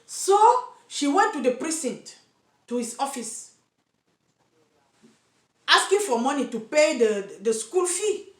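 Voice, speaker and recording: A woman's voice: high voice, very animated, slow pace, pauses often. A woman from Cameroon with a Cameroonian accent, speaking straight to camera as an activist for single mothers, earnest and insistent, stumbling and repeating words as she searches for English. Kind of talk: direct-to-camera vlog. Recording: echoey room.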